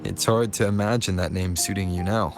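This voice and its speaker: A man playing a sceptical, probing associate in a video game, speaking in a measured, questioning way. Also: low voice, fairly even intonation. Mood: dry. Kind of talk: scripted game dialogue. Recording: loud music behind the voice. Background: music.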